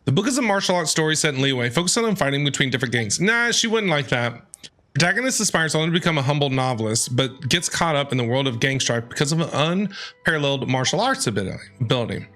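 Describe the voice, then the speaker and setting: A bright, forceful game streamer with a voice of middling pitch that swings widely. The delivery is casual and playful, reacting to the game and reading text aloud. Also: sing-song, fast. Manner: playful, reactive, talks back to game characters. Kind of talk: casual live gameplay commentary and reading aloud.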